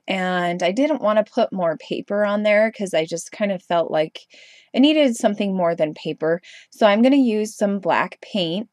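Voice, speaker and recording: A woman's voice: on the low side, a little mellow, no room sound, clean studio sound. Stuffy-nosed woman chatting through a craft tutorial. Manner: chatty, rambling, frequent ums and self-corrections. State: stuffy and congested from a cold. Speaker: craft video host. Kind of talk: casual voice-over crafting tutorial.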